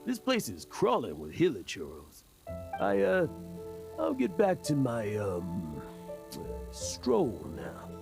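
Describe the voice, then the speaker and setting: Gravelly, blustering adventurer. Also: male voice, mid-range voice, huge pitch swings, music in the background, full character voice. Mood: boastful, nervous. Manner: halting, blustering. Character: boastful, nervous male adventurer bluffing his way through. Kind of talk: video game voice acting.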